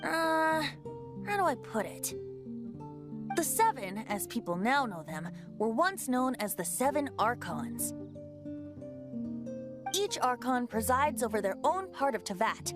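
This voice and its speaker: High-voiced, playful boy bard. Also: very animated, unhurried, long pauses, over music. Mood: explanatory. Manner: theatrical, lightly coy storyteller. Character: youthful boy bard, secretly a god, playful and breezy. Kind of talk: animated video game voice acting.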